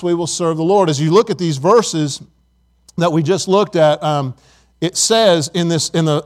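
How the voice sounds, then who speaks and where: High-voiced earnest preacher man in his fifties. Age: 50s. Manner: earnest, conversational preaching with repeated words. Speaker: pastor. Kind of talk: sermon with scripture reading and prayer.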